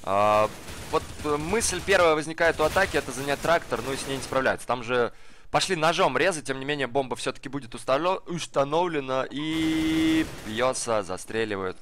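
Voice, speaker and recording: A hoarse man commentating a live online game match in a casual, slangy way, speeding up during the action. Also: medium pitch, lively intonation, moderate pace, a bit bright, slight background noise. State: hoarse from the cold. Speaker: esports commentator. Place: cold flat at home.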